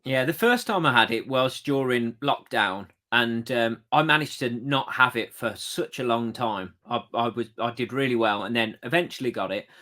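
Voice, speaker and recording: A man with an ordinary mid-range voice hosts a podcast. He chats in a relaxed, friendly way and throws in the odd "um". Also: mid-range voice, moderate pace, hardly any pauses. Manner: friendly, chatty, a few fillers. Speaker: podcast host. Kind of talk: casual chat.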